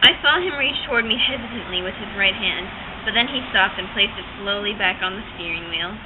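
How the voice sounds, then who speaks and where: A woman's voice: medium pitch, brisk. A woman giving a theatrical, mock-dramatic reading of a novel as an amateur reader. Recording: tinny, band-limited, noisy amateur recording.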